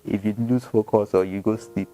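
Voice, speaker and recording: Guy in his 30s, podcast co-host, low-pitched, slangy and animated, faint music under. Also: normal speed. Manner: slangy, animated storytelling with acted quotes. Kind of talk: casual chat.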